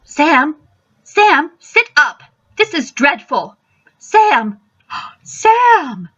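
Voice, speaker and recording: A woman's voice: sits high, big pitch swings, extremely slow, fairly bright tone, exaggerated voice. A woman playing a flustered, genteel housewife hostess in a radio mystery, speaking hesitantly on a dull recording. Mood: alarmed. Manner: hesitant, fluttery.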